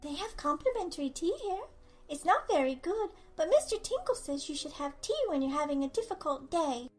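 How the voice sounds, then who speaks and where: A woman voicing a calm little girl in an animated story, innocent and matter-of-fact. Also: sits high, brisk, soft background music. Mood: polite, helpful. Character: pale, calm, frank little girl with bunny.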